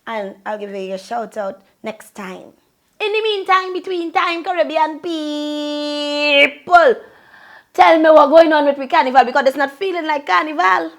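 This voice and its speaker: A woman's voice: sits high, a little slow. A woman from Trinidad with a Trinidadian accent, ranting bluntly and profanely to camera in Creole-flavored casual talk. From Trinidad and Tobago. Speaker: vlogger and longtime event host.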